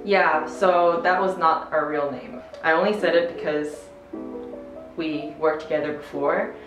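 A young woman in her twenties chats in a loose, rambling way, full of fillers. Her voice sits a bit low. The camera mic is far across an echoey living room, with music playing behind. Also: measured pace. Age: 20s. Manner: chatty, rambling with many fillers and laughs. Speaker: co-host. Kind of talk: casual chat.

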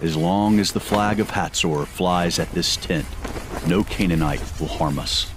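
Very low-voiced practical husband, acted by a man. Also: measured pace. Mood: matter-of-fact. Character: pragmatic metalworker husband in ancient Canaan. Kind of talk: radio drama acting. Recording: clear background noise and ambience.

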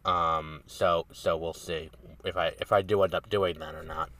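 A man's voice: deep voice, fairly even intonation, normal speed, fairly bright tone, far from the mic. A man hosting a podcast while sick with a cold, sounding nasal and congested, rambling casually with lots of ums and restarts. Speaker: podcast host. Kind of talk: casual solo review talk.

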